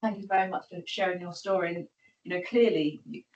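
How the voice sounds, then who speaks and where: A woman's voice: on the low side, fairly even intonation, brisk, a little mellow, lo-fi sound. A woman on a board commenting on a patient's story in a rambling way, often breaking off mid-phrase. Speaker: board member. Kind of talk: meeting comment. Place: meeting room. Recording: echoey room, far from the mic.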